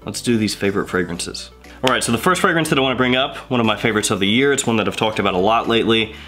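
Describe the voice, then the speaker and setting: Man, stuffy from a cold, low voice, chatty reviewer, faint music behind. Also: expressive, bright, forceful tone. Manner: chatty, lists items in quick runs. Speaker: fragrance reviewer. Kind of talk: casual video review. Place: indoor room with shelves of bottles.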